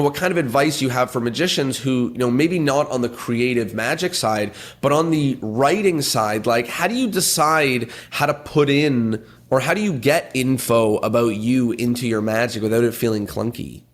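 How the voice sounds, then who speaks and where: A man hosting a magic podcast, asking long, winding questions packed with "you know" and restarts. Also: mid-range voice. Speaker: podcast host.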